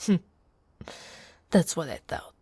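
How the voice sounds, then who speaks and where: A woman from Italy playing an affectionate, teasing female orc warrior in an intimate, soft-spoken ASMR roleplay. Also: slow pace, no echo, natural voice. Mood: grateful, tender. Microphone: close, dry microphone.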